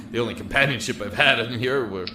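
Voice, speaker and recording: An actor playing a lonely, emotional young man in a prison cell in an audio drama, talkative and halting. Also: mid-range voice, noisy background, lightly acted. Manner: halting, rambling, effusive. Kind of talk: audio drama acting with first-person narration. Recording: echoey room with music behind.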